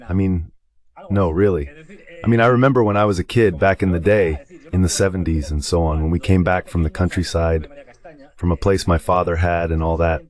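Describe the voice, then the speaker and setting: A man's voice: sits low, darkish tone. A man giving explanatory, conversational commentary on a war as a guest analyst in a dubbed live talk.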